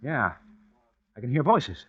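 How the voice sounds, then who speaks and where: An actor playing a gruff, bossy but reassuring trapped man in an old-time radio thriller. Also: medium pitch, very animated, unhurried. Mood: bossy, irritated. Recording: muffled vintage radio recording.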